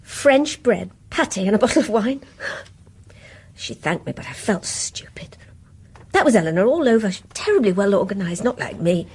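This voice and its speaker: A woman's voice: medium pitch, big pitch swings, moderate pace, darkish tone, slightly rough. An actress playing a self-doubting married neighbour in a British radio play, reticent and wistful as she confides. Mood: wistful, confiding.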